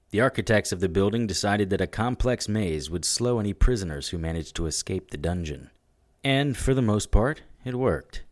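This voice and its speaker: A man's voice: sits low, a little mellow, no echo, studio quality, close to an everyday voice. An actor playing a world-weary, sardonic demon hunter who narrates his story in gritty, matter-of-fact noir style. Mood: wary narration. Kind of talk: first-person noir audio drama narration.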